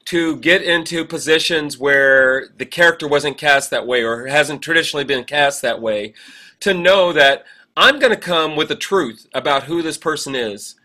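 A man's voice: fairly high pitch, moderate pace, pushed and bright. A man co-hosting a casual conversation, reflective and emphatic, building his point in repeated phrases. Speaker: podcast co-host, military veteran.

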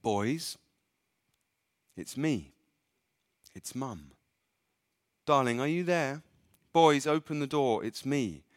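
A man voicing a demon who imitates a mother, speaking softly and coaxingly. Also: medium pitch, animated, takes it slowly, long pauses, pitched above the performer's own voice. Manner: soft, coaxing, pleading. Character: demon faking the boys' mother, sweet and luring.

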